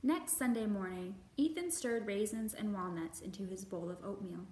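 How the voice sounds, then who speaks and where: Female children's storytime reader, deliberate and expressive, mid-range voice, echoey room. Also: medium pitch. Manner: slow, deliberate, expressive phrasing. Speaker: children's librarian hosting storytime. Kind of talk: picture book read-aloud for young children. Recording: roomy echo, faint background noise.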